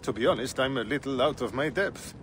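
A man's voice: medium pitch, brisk, fairly bright tone, a little hiss or hum, close to an everyday voice. An actor playing a weary, wry male lawman who is out of his depth, with a slightly hesitant manner, in a video game. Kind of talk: dramatic game cutscene dialogue.

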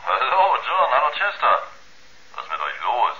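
An actor playing a worried, impatient astronaut in a radio drama, calling his crewmates with brisk insistence. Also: medium pitch, wildly swooping pitch, heavily acted. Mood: insistent, worried. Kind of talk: 1970s German radio drama acting. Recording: dull, sharp radio-link sound.

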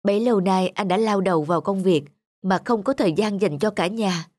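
A woman's voice: medium pitch, fairly quick, darkish tone, no room sound, clean studio sound, close to an everyday voice. A woman voicing an earnest, reflective young husband and devoted father in a cartoon. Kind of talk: cartoon dubbing. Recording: music behind the voice.

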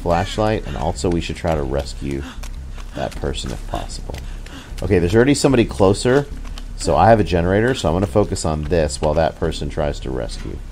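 A man's voice: sits low, sing-song, a little fast, a little mellow, a little hiss or hum. A man giving casual live commentary while he streams a video game. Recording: game music behind the voice.

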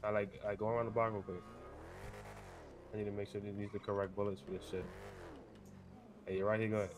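A man's voice: on the low side, little pitch movement, quick pace. A man talks casually in street slang while role-playing in a game. He repeats phrases as he goes, and there is faint noise on his mic. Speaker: gamer role-playing a gang member online.

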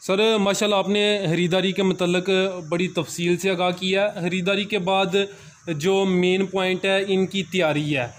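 A man's voice: high-pitched, steady tone, normal speed. A man asks questions as an interviewer, politely and a little hesitantly. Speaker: interviewer, channel host. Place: cattle farm.

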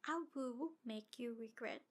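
A woman voicing a jealous, obsessive yandere demon woman in an ASMR roleplay, breathy and halting, often slipping toward a whisper. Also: fairly high pitch. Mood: desperate, upset.

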